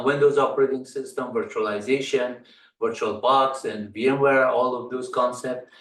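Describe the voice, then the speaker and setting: A man's voice: medium pitch, a bit bright. A middle-aged man from Afghanistan whose first language is Persian, walking through a screen-share tutorial as a computer science instructor, with many ums and uhs as he talks. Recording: echoey room, far from the mic.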